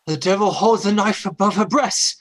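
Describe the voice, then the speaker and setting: A man's voice: high-pitched, normal speed. An actor playing an anxious man helping a rescue in an old-time radio horror play, urgent and uneasy. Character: anxious male companion Stan, helping the rescue.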